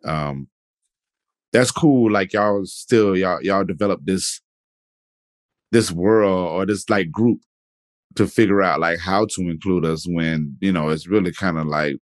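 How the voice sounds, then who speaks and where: A man's voice: deep voice, unhurried, dry recording. A man hosting a podcast, asking questions in a loose, conversational way. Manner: loose and conversational, often adds "you know what I'm saying". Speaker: podcast host. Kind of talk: casual interview chat.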